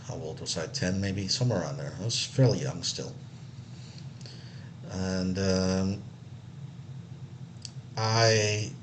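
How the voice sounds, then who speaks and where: Man from Israel, very low voice, loose meandering storyteller, faint room ambience behind him. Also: noisy background. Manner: relaxed and meandering, many fillers and restarts. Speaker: accessibility analyst. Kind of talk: casual personal story.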